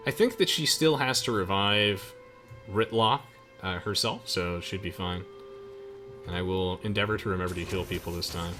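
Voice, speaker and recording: A man giving casual, chatty gameplay commentary while playing with friends, in an excitable manner. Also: low voice, normal speed. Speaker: gamer, let's play host. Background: game music.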